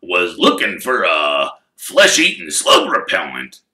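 A man voicing a huge, gruff, big-hearted gamekeeper with a loud, growling voice and hesitant fillers, read in an echoey room. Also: wildly swooping pitch, slow, full character voice. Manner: booming outbursts and hesitant fillers.

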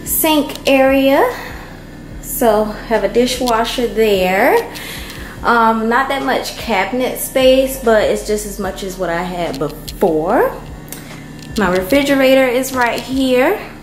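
Slow-talking woman touring an empty apartment. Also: a little high, animated, faint music behind, rough recording. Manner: unhurried, chatty, many ums. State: hot and worn out from moving. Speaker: vlogger giving an apartment tour. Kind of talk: casual walkthrough narration. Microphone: handheld camera.

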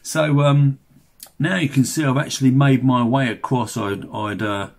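Gravelly, husky older Englishman chatting. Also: mid-range voice, steady tone, a little slow. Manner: chatty, rambling, mildly sweary, colloquial. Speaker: fishing vlogger. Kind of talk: casual vlog narration.

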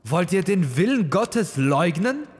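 A man voicing a defiant, fervent bishop with a loud, preacherly delivery, in a horror story reading. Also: sits high, big pitch swings, slow pace, in a large hall, voice pushed up, full character voice. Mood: fervent defiance. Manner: thunderous, preacherly, challenging.

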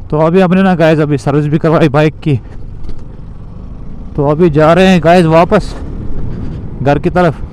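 A young man with a slightly high voice chats casually to his viewers as he rides a motorbike through town. He rambles in a loose, repetitive way, picked up by a mic on his camera rig, with faint traffic noise behind him. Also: steady tone, measured pace, long pauses, a little mellow. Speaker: moto vlogger. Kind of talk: casual narration while riding a motorbike. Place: outdoors on a motorbike in city traffic.

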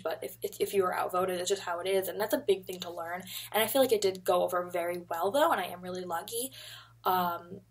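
A young woman with a husky, slightly breathy voice talks at an everyday pitch. She rambles in a loose, chatty way, speaking straight into a webcam at her desk in a bedroom. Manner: chatty, rambling, animated hand gestures. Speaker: vlogger. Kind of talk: casual chat to camera. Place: bedroom, indoors.

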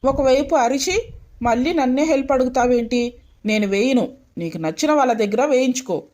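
A woman plays a hot-tempered young fiancée in an audio story. Her voice is fairly high, and she sounds spoiled, jealous and indignant. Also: normal speed, darkish tone, natural voice. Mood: petulant, angry. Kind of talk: dramatised story dialogue.

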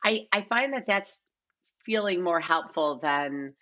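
Slightly dull call audio carries an American woman with a low, bright voice. She talks casually as an interview guest in her forties, slipping quoted asides into her sentences. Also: lively intonation, a little slow. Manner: conversational, peppered with quoted asides. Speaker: casting director, podcast guest. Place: indoor living room, on a video call.

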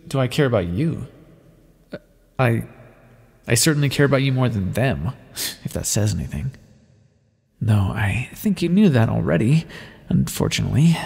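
A man playing an aloof, teasing vampire scientist in an ASMR roleplay, speaking wryly and formally with a gravelly voice. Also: mid-range voice, animated, normal speed, long pauses, a little mellow, big-room sound. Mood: guarded confession. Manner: wry, condescending, formal wording with pet names. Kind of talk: ASMR roleplay, scripted intimate monologue to the listener.

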